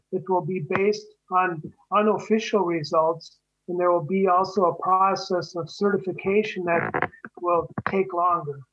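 A man explaining an election process slowly and deliberately over a video call. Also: sits high, fairly bright tone, lo-fi sound. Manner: slow, deliberate, careful. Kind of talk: measured explanation.

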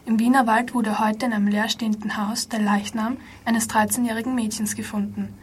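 A woman's voice: fairly high pitch, flat delivery, fairly quick, slight background noise, natural voice. A young schoolgirl playing a formal female TV news reader in an amateur radio play. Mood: formal, grave. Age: child pupil.

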